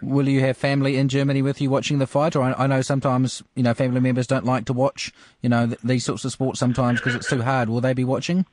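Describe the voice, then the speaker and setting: Quick New sports radio interviewer. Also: male voice, medium pitch, level tone, a little mellow, no echo. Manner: rambling, strings several lead-ins before the question.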